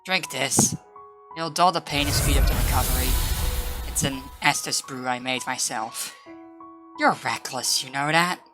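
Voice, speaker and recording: A woman's voice: very deep voice, measured pace, over loud music. A woman voicing a blunt, loyal female comrade in a dramatic roleplay, firm and earnest. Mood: weary, earnest. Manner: firm, scolding yet earnest. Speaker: voice actor.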